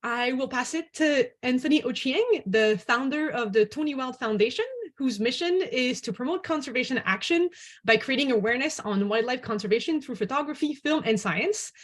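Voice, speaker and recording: A woman's voice: high-pitched, moderate pace. A woman hosting an online panel, responding to speakers and introducing the next guest. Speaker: host and moderator.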